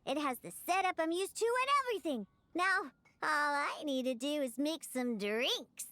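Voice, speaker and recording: Very high, wry young female bartender. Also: animated, moderate pace, fairly bright tone, no echo. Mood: mischievous. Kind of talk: video game voice acting.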